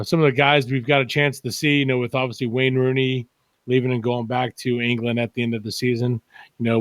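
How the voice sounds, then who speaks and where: Halting, reflective Midwestern man. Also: mid-range voice, no echo. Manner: halting, filler-heavy, reflective. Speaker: podcast guest. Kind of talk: casual chat.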